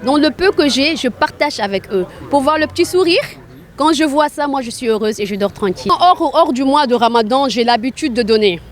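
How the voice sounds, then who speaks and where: A woman's voice: sits high, animated, fairly quick. A woman from Arabia speaking spontaneously in an interview as a charity volunteer, earnest and repeating phrases for emphasis. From grew up in Arabia.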